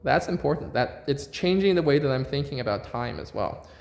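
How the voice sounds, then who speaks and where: A man in his forties talking casually to his viewers, animated and repeating words, with frequent fillers and tag questions. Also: medium pitch, a little fast, gravelly. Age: 40s. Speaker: vlogger. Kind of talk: casual monologue to camera. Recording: echoey room.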